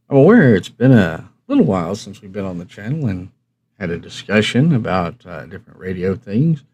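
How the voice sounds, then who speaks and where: A man's voice: on the low side, warm tone, husky. A man hosting a hobby live stream, explaining things in a casual, folksy way and answering his own questions. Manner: folksy, conversational, asks himself questions and answers them. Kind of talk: casual instructional livestream talk.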